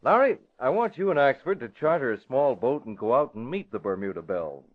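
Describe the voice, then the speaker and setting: Casting: male actor as authoritative leader, clipped and assured, mid-pitched, dull old-time radio recording. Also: big pitch swings, brisk, lightly acted. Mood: brisk, assured. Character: commanding, assured man, masked avenger and newspaper boss. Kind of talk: 1940s radio acting.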